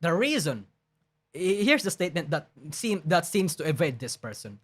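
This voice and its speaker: A man's voice: on the high side, big pitch swings, moderate pace, slightly rough. A man live-streaming, reading a document aloud and rebutting it in an emphatic, argumentative way, often restarting his sentences and swearing bluntly. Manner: blunt and profane.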